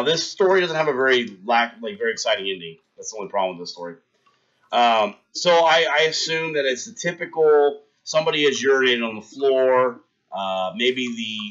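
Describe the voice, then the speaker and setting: A man's voice: mid-range voice, highs cut off. Young man rambling through a story. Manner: rambling anecdote, talks to chat, shouts out followers. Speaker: livestream host. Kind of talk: casual storytelling on a livestream.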